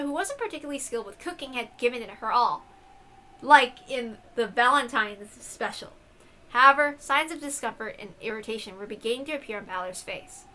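A woman narrates a visual novel in a high, bright voice. Her delivery is scripted and expressive, like a story read aloud.

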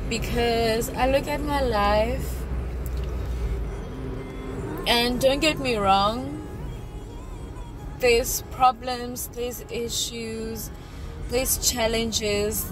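A woman's voice: on the high side, extremely slow, pauses often, over music, low-quality recording. A woman in her late twenties from South Africa, vlogging from the driver's seat about gratitude in a reflective, chatty way. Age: 20s. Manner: reflective, grateful, chatty with frequent "you know". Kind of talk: casual vlog talk to camera. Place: inside a car while driving. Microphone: phone camera mounted on the dashboard. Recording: road and engine noise in car.

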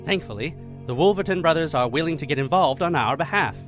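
A man's voice: fairly high pitch, expressive, fast, a bit bright, faint music behind. A man playing an earnest alien spider revolutionary in an audio drama, speaking formally. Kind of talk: audio drama panel discussion.

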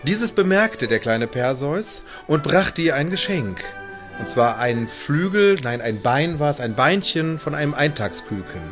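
A man's voice: fairly high pitch, expressive, a little slow, over music. A man, a falconer, explaining things and telling stories to children in spontaneous talk.

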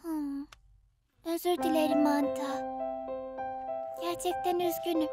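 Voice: sits high, fairly even intonation, slow pace, over loud music. A performer voicing a cheeky, preachy little girl, a younger sister in a children's cartoon, with a childlike, sententious manner. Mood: apologetic. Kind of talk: cartoon dub acting.

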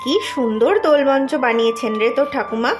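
A woman voicing a gentle young mother, the daughter-in-law, in a Bengali cartoon, speaking in a soft, mildly chiding way. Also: fairly high pitch, steady tone, fairly quick, over music, lightly acted.

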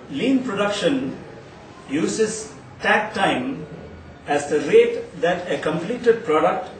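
Middle-aged guy explaining a product slow and patient, kinda highish voice, roomy office sound from a far camera. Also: slight background noise. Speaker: product presenter. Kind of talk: product demonstration. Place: indoor office showroom. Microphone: far camera mic. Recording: roomy audio from a distant camera.

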